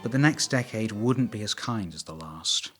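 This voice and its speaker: A man's voice: fairly low pitch, expressive, moderate pace. A man reading scripted documentary narration, with wry asides. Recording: voice over background music.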